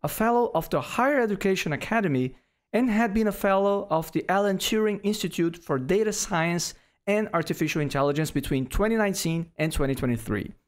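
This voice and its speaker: A man in his forties hosting an interview podcast, formally reading a guest introduction into a boom-arm microphone. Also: a little fast. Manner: formal, reads bio at a steady clip. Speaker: podcast host. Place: small home office. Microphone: studio microphone on boom arm.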